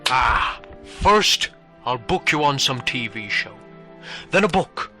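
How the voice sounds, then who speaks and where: An actor playing an eager, pushy talent agent in an animated superhero comic. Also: male voice, medium pitch, takes it slowly, soft background music, full character voice. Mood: wheedling.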